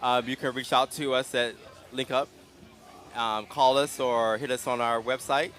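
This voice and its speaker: Host, male, calm and gentle delivery, mid-pitched, recorded outdoors at a street festival. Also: normal speed. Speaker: interview host. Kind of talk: casual live interview. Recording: outdoor live stream.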